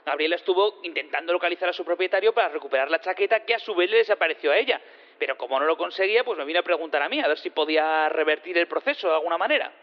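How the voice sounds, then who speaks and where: Man acting as eager teleport physicist, high-pitched, quick rambling speech, hesitant, audio drama, dull reverberant hall. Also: animated, close to an everyday voice. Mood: explanatory, flustered. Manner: rambling, hesitant, repeats himself. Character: rambling, eager male space physicist who teleports things. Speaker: witness in a courtroom. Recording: dull lo-fi sound in long reverb.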